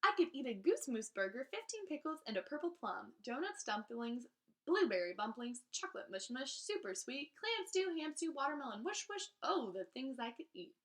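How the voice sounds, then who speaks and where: A woman with a fairly high voice reads rhyming children's verse aloud like a teacher. Her pitch moves in big, lively swings. She delivers lines theatrically, stressing and stretching key phrases. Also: normal speed. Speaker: teacher reading aloud to students.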